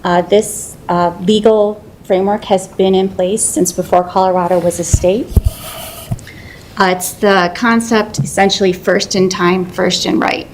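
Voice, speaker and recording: A woman with a low voice, a water resources manager presenting to a city council in a steady, explanatory way with fillers. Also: a little slow, a little hiss or hum, rough recording.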